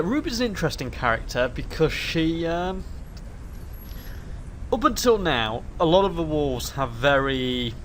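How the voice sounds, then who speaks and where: A man's voice: medium pitch, a bit bright, a little hiss or hum. A man with a cold hosting a casual let's play, talking his thoughts through in a hesitant, rambling way with many restarts. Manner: rambling, hesitant, self-correcting. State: has a cold. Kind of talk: casual gameplay commentary.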